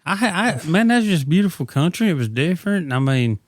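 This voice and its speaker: A man's voice: on the high side, big pitch swings, fairly quick, no room sound, clean studio sound. A man, co-hosting a hunting podcast in a laid-back, drawling chat. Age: 40s. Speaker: podcast co-host. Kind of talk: casual chat.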